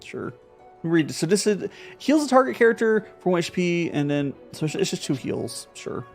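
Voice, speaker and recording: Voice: medium pitch, big pitch swings, normal speed, creaky, soft background music. A streamer talking casually while playing a card game, thinking aloud with many fillers and repeated words. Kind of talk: casual live gameplay commentary.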